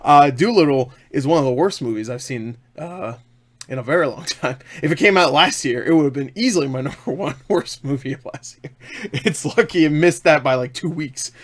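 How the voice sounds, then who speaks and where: A man's voice: on the high side, fairly quick. A man giving a casual, rambling movie review to camera, enthusiastic and jokey, often interrupting himself with little asides. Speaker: online movie reviewer and host. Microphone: webcam setup.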